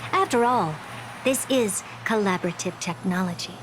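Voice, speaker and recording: An actress voicing a haughty, playful genius researcher in a video game, with a condescending, commanding and theatrical manner. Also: female voice, mid-range voice, moderate pace, noisy background, lightly acted. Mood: smug, explanatory.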